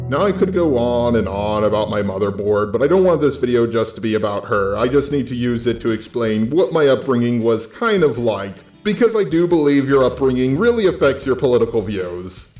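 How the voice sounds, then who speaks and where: A man's voice: mid-range voice, a little mellow. An American man from California delivering a scripted opinion monologue in a blunt, sweary, sardonic way as a YouTube host. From California, United States. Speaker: YouTube host with a robot avatar. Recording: roomy echo, faint music bed.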